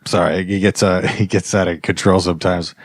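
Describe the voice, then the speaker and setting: A man's voice: low voice, level tone, brisk, very noisy. A man hosting an improvised comedy podcast, chatting in a rambling, hesitant way that often trails off. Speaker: podcast host.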